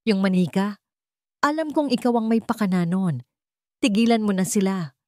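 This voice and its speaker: A woman acts out an angry, defiant man in a radio drama. His delivery is forceful and emphatic, raised in pitch. The recording is dry. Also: expressive, moderate pace, dry recording, natural voice. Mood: angry defiance. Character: angry, defiant adult stepson standing up to villainess. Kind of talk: Tagalog radio drama storytelling.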